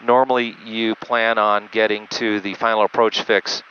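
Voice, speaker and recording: A man who pilots a jet, giving an unscripted, methodical instructional walkthrough with halting speech over a cockpit headset intercom. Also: fairly low pitch, flat delivery, a crowd in the background. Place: small jet cockpit in flight. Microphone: aviation headset through intercom. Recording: muffled band-limited cockpit headset audio, engine noise.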